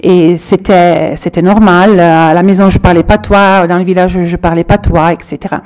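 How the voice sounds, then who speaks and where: A middle-aged woman from the Aosta Valley, a primary school teacher, giving a reflective, halting testimony to a panel. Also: fairly low pitch, steady tone, a little mellow. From Aosta Valley, Italy. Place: public hall with audience.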